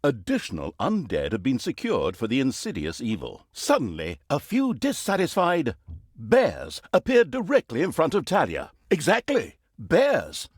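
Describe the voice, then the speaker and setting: A man's voice: medium pitch, huge pitch swings, normal speed, slightly rough, no room sound, studio quality. A man playing a sardonic, vain storyteller who narrates a comic fantasy game saga in a wry, mock-grand theatrical manner. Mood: smug, wry. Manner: wry, mock-grand, dramatic emphasis and asides.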